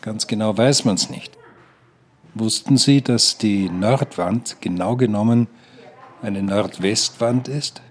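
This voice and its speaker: An actor playing a boastful, indignant old mountaineer who digresses like a know-it-all, in a radio drama. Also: male voice, on the low side, takes it slowly, a little hiss or hum.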